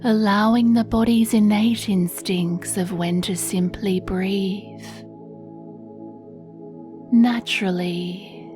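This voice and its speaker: A woman leads a scripted sleep meditation very slowly, leaving long pauses between phrases. Her voice is mellow and relaxed, soothing and hushed, with a breathy edge. Faint music plays behind her. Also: mid-range voice. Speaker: meditation guide.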